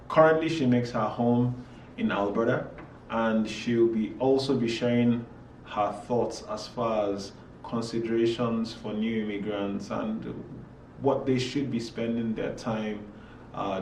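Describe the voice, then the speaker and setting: A man hosting an interview, speaking in a measured, polite way with frequent ums. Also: fairly low pitch, steady tone, darkish tone, a little hiss or hum, rough recording. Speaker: podcast host. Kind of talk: conversational interview.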